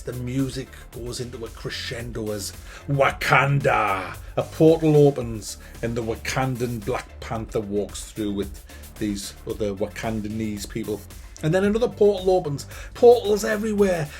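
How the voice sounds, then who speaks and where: A man giving a ranting movie review, animated, sarcastic and full of swearing. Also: fairly high pitch.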